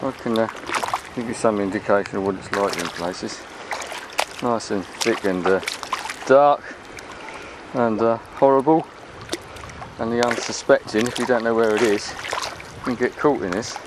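A man's voice: on the low side, unhurried. A man gives a casual, rambling, unscripted commentary as he walks, recorded outdoors on a handheld camera. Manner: chatty, rambling. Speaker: vlogger filming a beach walk. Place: open beach at low tide. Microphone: handheld camera's built-in microphone. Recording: outdoor handheld recording, clear wind and water noise.

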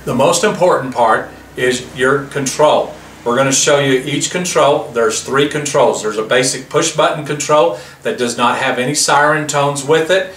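A man in his fifties gives an explanatory product demo. His voice has a bright, forceful edge. The recording is roomy and a little distant, with faint noise behind it. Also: mid-range voice. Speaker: product presenter.

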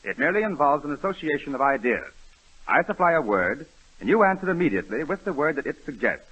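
A man's voice: a little high, sing-song, fast, a bit bright, breathy edge, heavily acted. A man playing a formal, condescending doctor in a 1930s radio comedy. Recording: muffled old radio broadcast.

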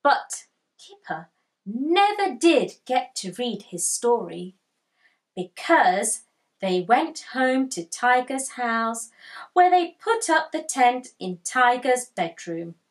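A woman in her thirties reading a picture book aloud to children, expressive and deliberate, as a storytime host. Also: a little high. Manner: expressive, deliberate, asks listeners questions. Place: small furnished room indoors with bookshelves.

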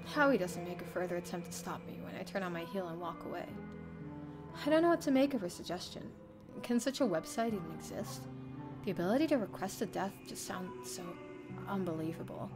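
A young woman streamer reading a visual novel aloud in an expressive, theatrical first-person narration. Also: lowish voice, brisk. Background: soft game music.

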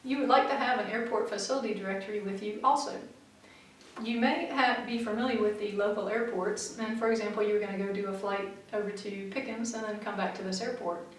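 A middle-aged woman flight instructor, calmly explaining practical tips to camera in a methodical way. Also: medium pitch, slight background noise. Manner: clear, methodical, practical advice. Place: small studio room in front of a backdrop, seated. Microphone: far camera mic. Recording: very echoey room, mic far off.

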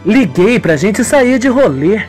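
A man's voice: sits high, sing-song, slow pace, a little mellow, soft background music, voice pushed up, heavily acted. A man voicing a scheming young woman who plays innocent, coy and slangy, in an audio soap opera. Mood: evasive.

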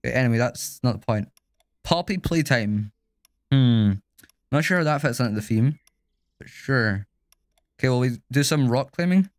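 A man's voice: mid-range voice, lively intonation, moderate pace, pauses often, dry recording. A man hosts a live game stream, joking and laughing with the players. His voice sits mid-range and sounds dry and close, with no room around it. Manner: laughing, banter-heavy, exclamatory. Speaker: livestream host. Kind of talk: casual live gaming chat.

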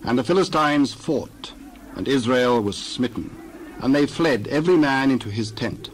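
A man's voice: mid-range voice, a little hiss or hum, low-quality recording. Man reading scripture steadily. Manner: measured, steady narration. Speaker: scripture reader.

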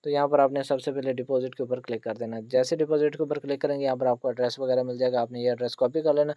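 Fast-talking man narrating a tutorial, even pitch. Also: no room sound, highs cut off. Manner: repetitive tag questions, instructional. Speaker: host of an online earning tutorial channel. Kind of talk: screen-recorded tutorial narration.

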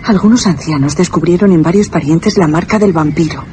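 A woman's voice: lowish voice, brisk, noisy background, lightly acted. An actress playing an innocent, earnest, superstitious young Greek peasant woman in a Spanish radio drama. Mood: fearful, earnest.

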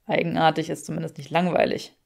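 A woman's voice: low-pitched, little pitch movement, quick pace, creaky, voice pushed down. A woman voicing a haughty young aristocratic man in an audiobook, teasing in a dry, mock-dramatic way.

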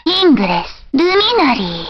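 A woman's voice: sits high, very animated, normal speed, breathy edge, highs cut off, full character voice. A woman voicing an eerie, self-assured young fortune-teller girl in short, dramatic anime video game calls. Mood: confident. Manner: dramatic, theatrical short exclamations.

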